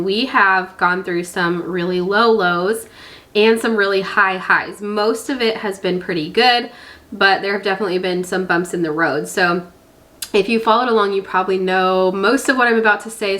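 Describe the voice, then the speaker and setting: A woman's voice: medium pitch, normal speed, sharp tone. Woman vlogger w a stuffy nasal head-cold voice, just chatting away casually. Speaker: vlog host. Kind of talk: casual chatty vlog to camera.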